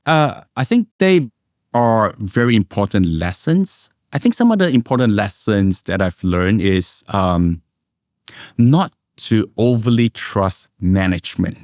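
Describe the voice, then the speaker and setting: A middle-aged man from Indonesia and Singapore, an investment analyst, giving measured, reflective answers as an interview guest. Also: lowish voice, big pitch swings, a little mellow, dry recording, clean studio sound.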